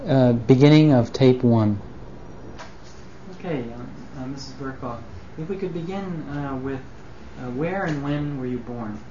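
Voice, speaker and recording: A woman with a very low, dark voice conducts a formal oral history interview. She phrases her questions hesitantly. The 1980s tape sounds dull. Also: unhurried, a little hiss or hum.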